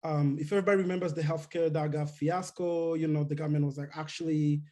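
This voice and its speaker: Man from Rwanda, mellow casual talk. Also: a little high, quick pace. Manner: relaxed, many fillers and restarts. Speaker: guest speaker.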